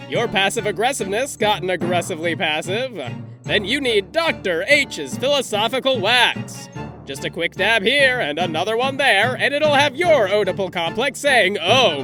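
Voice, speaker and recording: Man as a high-voiced hammy radio pitchman. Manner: salesy, theatrical. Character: hammy old-time radio pitchman selling quack remedies.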